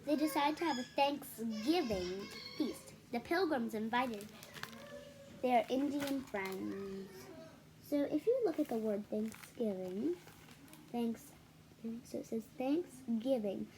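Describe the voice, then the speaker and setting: A woman's voice: on the high side, takes it slowly, a little mellow, slight background noise, poor audio. A woman reading a children's picture book aloud, adding casual explanatory asides for young listeners. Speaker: read-aloud storyteller for children.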